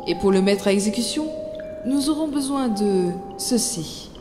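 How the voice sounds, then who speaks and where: A woman's voice: medium pitch, animated, over music, close to an everyday voice. Woman acting a firm, resolute mother, reverberant. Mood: determined. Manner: deliberate, firm. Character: resolute, stern, protective mother in clandestine revolt. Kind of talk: French audio drama acting.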